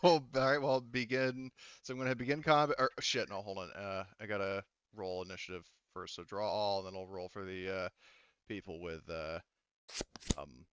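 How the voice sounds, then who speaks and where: A man casually narrating a tabletop game as game master, halting and thinking aloud as he goes. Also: on the low side, level tone, a bit bright, smooth, no room sound, dull audio.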